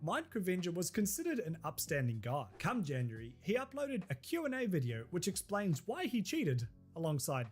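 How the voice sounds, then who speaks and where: Man, fairly high, nasal from a cold, wry scripted narrator, faint music under him. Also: normal speed, slightly rough. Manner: wry, deadpan humor. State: stuffy head cold. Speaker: video essay narrator.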